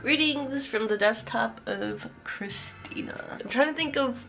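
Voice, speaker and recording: A woman's voice: a little high, measured pace, creaky, a bit bright, faint music behind. A young woman in a casual vlog, chatting in a rambling way while sounding congested from a cold. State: congested, sick voice. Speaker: vlogger.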